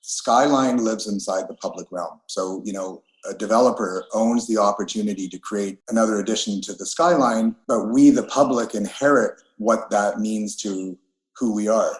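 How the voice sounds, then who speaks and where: A man, an architect and co-founder of a design firm, answering interview questions in an earnest, deliberate way. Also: fairly low pitch. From Canada. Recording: roomy echo.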